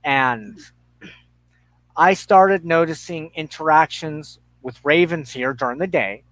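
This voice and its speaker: A middle-aged man in a reflective conversation, speaking haltingly and thoughtfully with pauses mid-sentence. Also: a little high, fairly bright tone, dry recording, low-quality recording.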